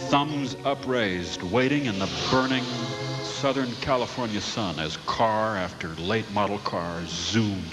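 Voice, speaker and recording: A man narrating a scripted comic radio story in a deadpan, mock-dramatic storyteller manner. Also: lowish voice, a little slow, poor audio. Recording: loud music behind narration.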